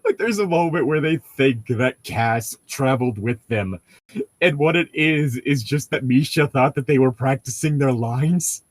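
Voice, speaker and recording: A man co-hosting a casual chat, rambling through stories in an excitable, profane and laughter-prone way. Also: medium pitch, unhurried.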